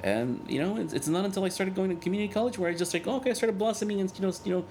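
A man in his thirties whose first language is Spanish, speaking as a guest in a candid interview, earnest and reflective, often halting and restarting. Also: sits high, a little mellow, slight background noise. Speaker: guest, cartoonist and organizer.

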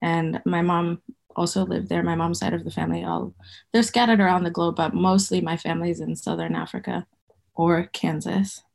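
A woman's voice: on the low side, steady tone, normal speed, vocal fry, darkish tone. A woman in her thirties from Zimbabwe, raised in Botswana, chatting as a podcast guest in a loose, rambling way, often correcting herself and laughing. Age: 30s. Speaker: guest, folk herbalist. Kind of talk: casual interview conversation.

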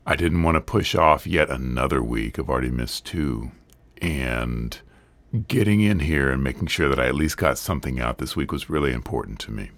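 Male podcast host, hoarse, very deep and gravelly, earnest chatty delivery, dry close recording. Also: moderate pace, a bit bright, no echo. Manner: earnest, conversational, rambling. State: hoarse, recovering from illness. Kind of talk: solo podcast monologue.

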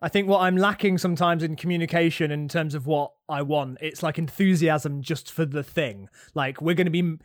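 Energetic man, a director as guest. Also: fairly high pitch, dry recording, clean studio sound. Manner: energetic, quick, laughs easily. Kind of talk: casual conversation.

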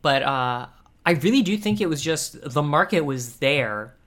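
Man chatting casually, halting and rambling. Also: fairly high pitch, expressive, fairly bright tone. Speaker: podcast co-host. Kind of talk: casual comedic chat.

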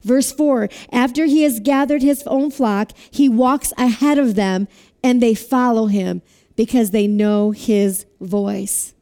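A woman preaching a sermon with a loud voice, asking listeners to repeat phrases after her. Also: fairly high pitch, steady tone, takes it slowly. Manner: asks the audience to repeat phrases.

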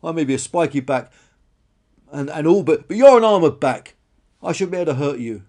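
Man playing a loud, indignant, weepy female dinosaur. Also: high voice, expressive, fairly quick, voice pushed up. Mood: loud, blustering. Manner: indignant, stammering, blustering. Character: vain, defensive, weepy female spiky dinosaur.

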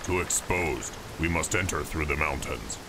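A man's voice: a bass voice, normal speed, noisy background. An actor playing a grim, weary male orc guide on the run in a fantasy audio drama, terse and solemn. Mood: grim, guarded.

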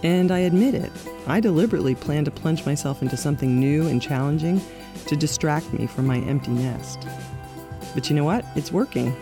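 A woman's voice: low voice, over music. Reflective middle-aged woman narrating. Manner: reflective, measured and candid. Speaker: podcast host. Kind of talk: scripted personal narration.

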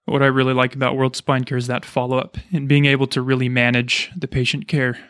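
A man's voice: mid-range voice, completely flat delivery, brisk, a bit bright, clean tone, dry recording, clean studio sound. A man hosting a casual interview, chatting and explaining things as he goes. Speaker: podcast host, chiropractor.

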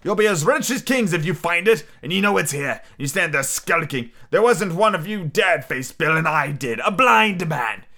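A man's voice: a little high, very animated, moderate pace. A man voicing a furious, greedy blind old pirate ringleader who barks orders and curses in a raised voice in an adventure storybook. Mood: bitter, scornful. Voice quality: loud, raised, shouting.

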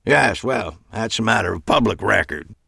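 A man voicing a suave, self-assured older male magician who talks in a smooth, lecturing way. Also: fairly low pitch, expressive, normal speed, sharp tone, no room sound, studio quality, exaggerated voice. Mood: smug.

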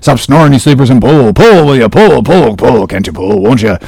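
A man's voice: mid-range voice, expressive, normal speed, gravelly, darkish tone, husky, dry recording. Whaling mate, played by a male reader: drawling, coaxing, comically scolding, very dry sound. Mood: mock fury. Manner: drawling, soothing, mixing fun and fury.

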